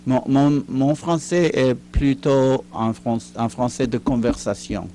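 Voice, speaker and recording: An older retired man, a guest in a studio interview, answering slowly with many fillers and restarts. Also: medium pitch, steady tone, dark tone, a little hiss or hum. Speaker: guest, retired teacher and writer. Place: recording studio.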